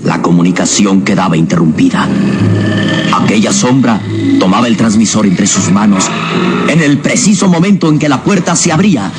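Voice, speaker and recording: A man narrating a scripted radio serial in a suspenseful, emphatic and deliberate dramatic style. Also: lowish voice, expressive. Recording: radio drama with loud music behind.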